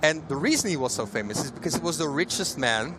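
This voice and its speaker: A man, a game developer, explaining features eagerly in a live interview, often pausing with "uh" and restarting. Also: a little high, animated. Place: trade fair stand. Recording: noisy live trade fair stream.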